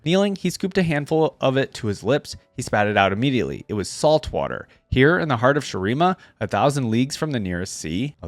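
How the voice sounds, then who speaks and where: Man with lively pitch, reacting and reading lore. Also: no echo. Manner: informal, conversational asides. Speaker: reaction video host reading game lore aloud. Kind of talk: casual reaction commentary mixed with story read-aloud.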